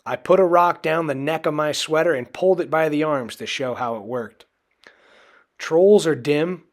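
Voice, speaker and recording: A man reading a children's storybook aloud in an expressive, dramatic way. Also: a little high. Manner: expressive, dramatic delivery with acted character voices. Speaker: storybook reader.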